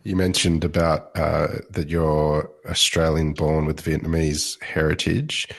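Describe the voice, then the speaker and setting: A man hosting a podcast, asking an interview guest questions in a slow, measured way. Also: very deep voice, little pitch movement, vocal fry. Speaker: podcast host.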